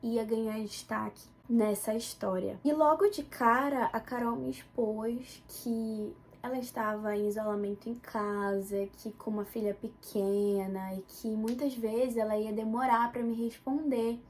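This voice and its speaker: Young female student presenter, northern Brazilian accent from Belém. Soft-toned, fairly high voice, recounting her own academic work, with noise behind her. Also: steady tone, unhurried, few pauses. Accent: northern Brazilian Portuguese, Belém. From Belém, Pará, northern Brazil. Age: young adult. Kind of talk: academic presentation, personal account.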